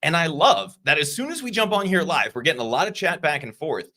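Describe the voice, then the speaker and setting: A man co-hosting a casual livestream podcast, energetic and enthusiastic, talking to the live chat through a desk mic on a video call. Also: fairly high pitch, a little fast. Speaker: podcast co-host.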